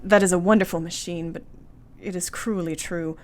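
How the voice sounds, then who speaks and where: An actress playing an earnest, tender young Victorian wife in a radio play, with formal period diction. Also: female voice, fairly low pitch, steady tone, normal speed, lightly acted. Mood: gentle, sympathetic.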